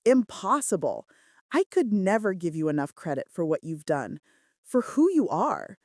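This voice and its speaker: A woman's voice: fairly low pitch, very animated, normal speed, dry recording, clean studio sound. A woman voicing an earnest, devoted male mafia boss in love in a romance audiobook. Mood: earnest, grateful.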